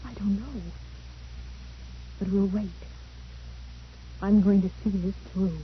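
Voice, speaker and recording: A woman's voice: mid-range voice, steady tone, slow, lightly acted. A woman playing an anxious, determined daughter in an old-time radio drama, urgent and agitated in delivery. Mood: tense, determined. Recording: muffled, noisy old radio recording.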